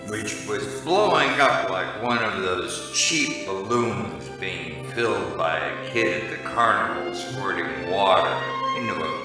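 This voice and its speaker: A man playing a weary, wisecracking, hard-drinking noir private eye in a radio drama, with a deadpan hardboiled delivery. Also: deep voice. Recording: roomy echo, music behind.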